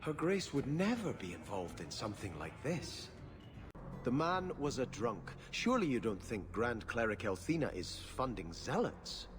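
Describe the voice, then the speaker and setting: An actor playing a prim, middle-aged government official in a video game, speaking in a formal, measured and dryly disdainful way. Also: male voice, medium pitch, very animated, music in the background, lightly acted. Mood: insistent. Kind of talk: video game cutscene acting. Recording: echoey hall reverb.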